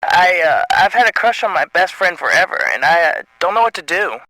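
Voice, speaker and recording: A man's voice: high-pitched, expressive, brisk, sharp tone, no echo, heavily acted, rough recording. An actor playing an awkward, hesitant young man phoning a radio show in a video game. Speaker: radio call-in listener.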